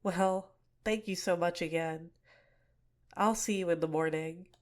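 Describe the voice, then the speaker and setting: Soft-spoken young villainess, manipulative, close-mic ASMR; a woman voices her. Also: lowish voice, a little slow, natural voice. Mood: shy, apologetic. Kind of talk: ASMR roleplay.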